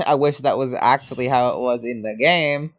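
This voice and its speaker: A woman's voice: extremely low pitch, normal speed. A woman giving casual gameplay commentary as a video host, chatty and informal. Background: faint game music.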